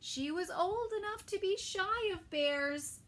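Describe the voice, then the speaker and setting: A woman preschool teacher reading a picture book aloud to young children, adding gentle asides and soft whispered comments. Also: very high-pitched, slow pace, a little hiss or hum.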